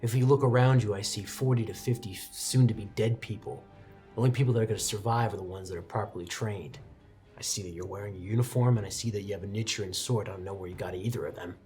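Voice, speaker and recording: A man's voice: on the low side, fairly even intonation, fast talker, darkish tone, soft background music, close to an everyday voice. A man playing an arrogant, hostile young male demon slayer in a tabletop game, curt, condescending and threatening. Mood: cold disdain.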